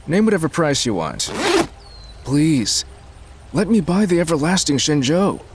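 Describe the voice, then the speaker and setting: A man playing a smug, wealthy Chinese businessman in an audio drama, with slick, persuasive, drawn-out talk. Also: on the high side, very animated, husky, exaggerated voice. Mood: smug persuasion.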